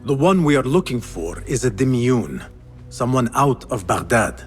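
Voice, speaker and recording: An actor playing a guarded, determined young former thief, speaking in a terse, serious way in a video game. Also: male voice, medium pitch, very animated, normal speed, natural voice. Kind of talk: video game cutscene acting. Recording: music behind the voice.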